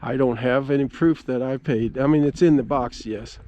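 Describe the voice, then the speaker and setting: A middle-aged man giving a casual, halting, folksy account as he vlogs outdoors at a park. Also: medium pitch, mellow, highs cut off. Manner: halting, folksy, stop-and-start storytelling. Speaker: amateur radio operator vlogging. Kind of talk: casual rambling outdoor vlog. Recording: clear ambient noise outdoors. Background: ambient outdoor noise.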